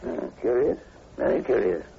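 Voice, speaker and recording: An actor playing a learned, inquisitive professor in an old-time radio drama, speaking with hesitant, musing and precise formality. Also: male voice, medium pitch, expressive, normal speed, creaky, breathy edge, heavily acted. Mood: curious, probing. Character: inquisitive, learned university professor investigating a mystery. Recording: dull, noisy old radio recording.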